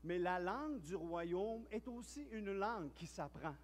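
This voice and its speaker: A man with a Quebec French accent preaches in a church hall, his voice high, and long reverb trails each phrase. He talks in a relaxed, storytelling way, stumbling and repeating words as he goes. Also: unhurried. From Quebec, Canada. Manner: chatty, storytelling, with frequent restarts and repeated words. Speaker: pastor. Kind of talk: sermon.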